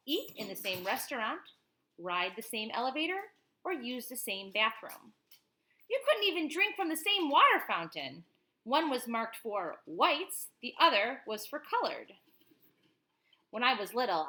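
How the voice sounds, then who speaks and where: Bright, higher-pitched woman reading to children. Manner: expressive, explanatory, addresses children directly. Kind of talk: animated picture-book read-aloud with informal asides.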